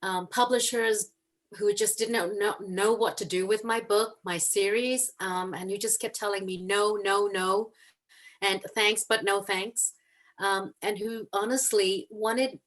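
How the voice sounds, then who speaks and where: Woman guest author from Hong Kong, earnest and animated, mid-pitched, video call audio. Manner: earnest, animated storytelling with frequent ums. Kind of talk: casual chat on a video call.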